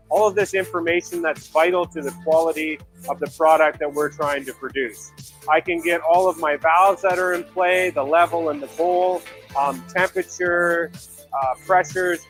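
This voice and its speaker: A man's voice: on the high side, fairly bright tone, rough recording. A man explaining production equipment in a promotional video, in plain practical language. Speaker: brewery production worker overseeing brewing and packaging.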